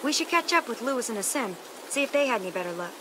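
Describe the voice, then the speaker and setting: A woman's voice: a little high, big pitch swings, very fast. A woman playing an awkward, eager teenage girl survivor in a video game, casual and a little hesitant. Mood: uneasy, friendly. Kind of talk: naturalistic video game drama acting. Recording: clear outdoor nature noise behind.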